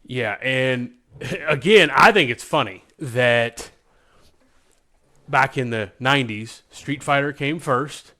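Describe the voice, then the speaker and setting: A man's voice: medium pitch, slow, bright, forceful tone. An American man co-hosting a podcast in casual, enthusiastic pop-culture chat, speaking emphatically. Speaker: podcast co-host.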